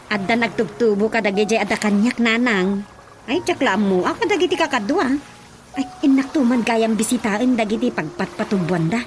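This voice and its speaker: A woman's voice: a little high, normal speed, slight background noise, close to an everyday voice. An actress with an Ilocano Filipino accent playing a friendly village woman in a radio drama. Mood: chatty. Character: Rosa, friendly woman active in village farm projects. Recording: music bed behind the voice.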